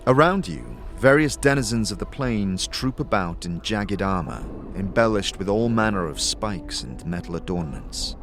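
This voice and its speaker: Man, dramatic storyteller, scripted narration, lowish pitch, lively intonation. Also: normal speed, hardly any pauses, soft background music. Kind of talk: scripted second-person fantasy narration.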